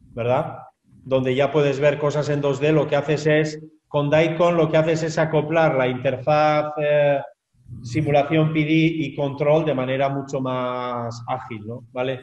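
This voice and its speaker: A man giving an academic webinar lecture in a lively, conversational way, often adding quick tag questions over a video call. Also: mid-range voice, reverberant, lo-fi sound.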